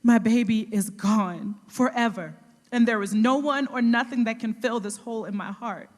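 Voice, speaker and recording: A woman's voice: fairly high pitch, moderate pace, big-room sound, natural voice. A woman in an audio drama playing a loving, protective mother with an earnest, emotional delivery. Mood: grief-stricken. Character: loving, protective mother, grief-stricken over her son.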